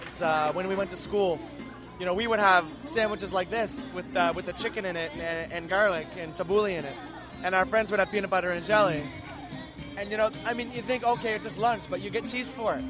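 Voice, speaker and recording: A man's voice: sits high, a little fast. A man being interviewed at an outdoor festival, talking earnestly and repeating words as he starts his sentences. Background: music and yelling kids.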